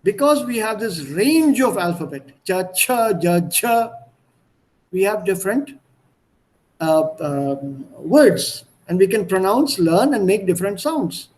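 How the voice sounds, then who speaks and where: Male language tutor, Indian accent, high-pitched voice, explains didactically and demonstrates by repetition. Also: animated, slow, darkish tone, low-quality recording. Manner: didactic, repeats and demonstrates sounds. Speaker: language teacher. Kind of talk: online language lesson.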